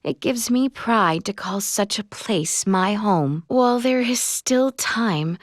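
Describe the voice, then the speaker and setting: A woman voicing an earnest, dutiful young royal heroine in a video game, speaking in formal, archaic, measured phrases. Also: mid-range voice, lively intonation, dry recording, studio quality. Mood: solemn, resolute. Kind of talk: video game character voice acting.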